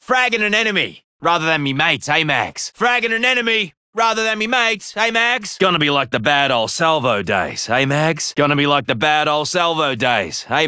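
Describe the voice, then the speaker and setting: Cheeky Australian demolitions brawler, game character, bright forceful voice, animated pitch, sardonic banter. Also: male voice, clear, resonant tone, dry recording, studio quality. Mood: boisterous, goading. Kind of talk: video game character voice lines.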